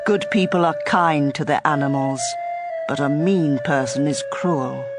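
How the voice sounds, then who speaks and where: A woman's voice: deep voice, a little slow. A woman giving a dramatized reading of scripture as an audio Bible reader. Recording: prominent background music. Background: music.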